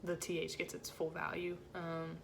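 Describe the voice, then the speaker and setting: A woman with a low-set voice giving an informal music rehearsal lesson as a choir section leader, in a casual, encouraging way with hesitant phrasing. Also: completely flat delivery, normal speed, vocal fry, background noise. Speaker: choir section leader teaching alto parts.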